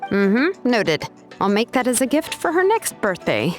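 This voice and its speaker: A woman's voice: on the high side, sing-song, a little slow, faint music behind. A woman voicing a cool, laid-back craftswoman in an anime video game. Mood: easygoing, kind. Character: cool, easygoing female craftswoman.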